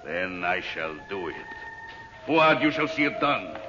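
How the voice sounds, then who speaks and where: Grandiose, power-mad villain, deep voice. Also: male voice, very animated, a little slow. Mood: raving triumph. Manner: menacing, bombastic, theatrical. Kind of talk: old-time radio serial acting. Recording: dull old radio recording, loud music.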